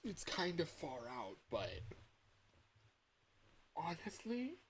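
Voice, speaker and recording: A man streamer chatting casually, thinking aloud in a halting, rambling way. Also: on the high side, huge pitch swings, background noise. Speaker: livestreamer and fangame developer. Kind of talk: casual livestream chat. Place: livestream at a computer.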